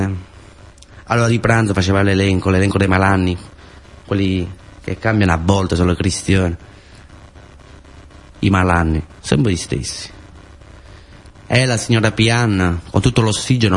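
A man's voice: sits low, steady tone, moderate pace, long pauses. A man with a southern Italian, Pugliese accent performing a colloquial, first-person dramatic monologue for radio theatre, in short repeated phrases.

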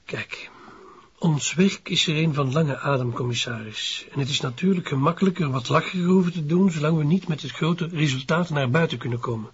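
An actor playing an evasive, formal Flemish police adjutant with a husky voice in a radio crime drama. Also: male voice, a little high, brisk, muffled recording. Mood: defensive. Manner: hesitant, formal, defensive.

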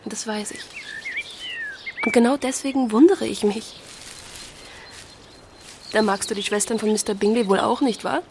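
A woman's voice: fairly high pitch, normal speed, long pauses, a little mellow, natural voice. A woman playing a frank, witty young sister in a German radio drama, playful and candid. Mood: teasing, affectionate. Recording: clear outdoor noise with birdsong.

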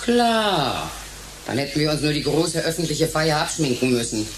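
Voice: mid-range voice, very animated, moderate pace, background noise, lightly acted. An actor playing a bossy, cynical, ruthless ringleader in a radio crime drama, commanding and sarcastic.

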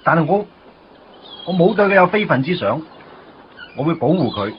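A man's voice: on the high side, very animated, moderate pace, a little hiss or hum. An actor playing a conflicted, protective young man in a Cantonese radio drama, with a casual, brooding Hong Kong delivery. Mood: defensive, heated. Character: conflicted young man, protective of his first love, evasive. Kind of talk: radio drama dialogue and inner monologue. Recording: muffled radio drama with music bed.

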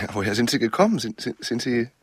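An actor playing an awkward, courteous summer visitor in a radio drama, speaking hesitantly and with formal politeness. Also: male voice, medium pitch, expressive, a little fast, breathy edge, no echo. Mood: tentative, friendly. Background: music with guitar.

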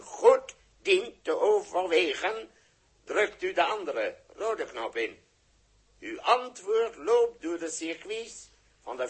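A slow, sharp-voiced formal interrogator. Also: male voice, a little high, breathy edge, full character voice. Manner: stiff, formal, ceremonious. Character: formal alien interrogator running a truth test. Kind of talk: 1960s science-fiction radio drama acting. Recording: muffled-edged 1960s radio recording.

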